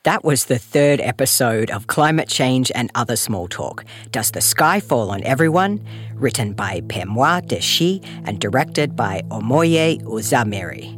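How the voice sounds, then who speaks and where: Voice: mid-range voice, lively intonation, unhurried. A podcast host reading a scripted outro and credits in a chatty, informal way. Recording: music under the voice. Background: music.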